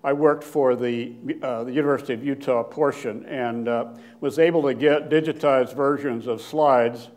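An older man giving a slide lecture in a hall, with a loud voice and frequent fillers and restarts. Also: mid-range voice, steady tone. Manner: loud, plain-spoken, halting with many fillers and restarts. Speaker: guest lecturer, archaeologist. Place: lecture hall with seated audience. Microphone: podium microphone, standing at lectern.

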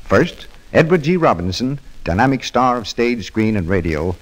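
A man's voice: mid-range voice, sing-song, normal speed, poor audio. An American man making formal, emphatic announcements in 1930s radio style.

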